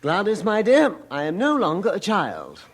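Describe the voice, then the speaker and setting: A man's voice: high voice, wildly swooping pitch, normal speed, exaggerated voice. A man playing a boisterous, teasing young British brother in an audio drama, jovial and mocking.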